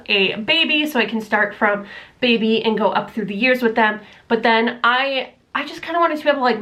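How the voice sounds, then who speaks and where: A woman's voice: on the high side, a bit bright. Chatty, rambling woman with a stuffy nose. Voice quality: stuffy, nasal from allergies. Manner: rambling, many fillers, self-deprecating. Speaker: book vlogger. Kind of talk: casual chatty vlog. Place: room at home, not yet set up for filming. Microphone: camera mic, camera propped on books.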